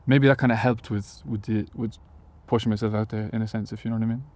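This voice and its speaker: A man's voice: lowish voice, fairly even intonation, fast, a little mellow. A young man from Galway with an Irish accent, answering interview questions hesitantly with many fillers and restarts. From Ireland, Galway. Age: young adult. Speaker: musician guest.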